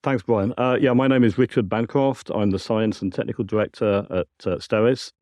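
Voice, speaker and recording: A British man gives explanatory answers as an interview guest. His voice is low and relaxed, recorded dry and close. He talks in a measured way, sometimes doubling back on words. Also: no room sound. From United Kingdom. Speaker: technical director, interview guest.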